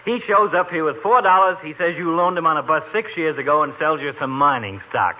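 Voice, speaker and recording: A man's voice: fairly high pitch, brisk, lightly acted. A man playing a tired, cynical old doctor who is wry and wordy, in a 1940s radio comedy. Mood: skeptical. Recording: tinny 1940s radio recording, faint noise.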